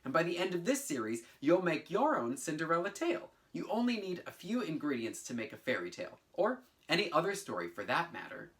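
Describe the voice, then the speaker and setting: A man in his thirties teaching children and telling stories in a theatrical, clearly enunciated way. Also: on the high side, fairly quick, few pauses. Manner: animated, theatrical, clearly enunciated, sing-song chants. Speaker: teaching artist and presenter. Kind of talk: children's lesson, storytelling and instruction. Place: bedroom at home, indoors.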